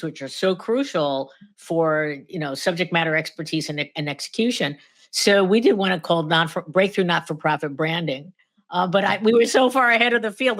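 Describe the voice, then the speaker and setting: Bright, chatty woman interviewing a guest. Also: lowish voice. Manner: curious, chatty, jumps in with affirmations. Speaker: podcast host. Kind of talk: interview hosting.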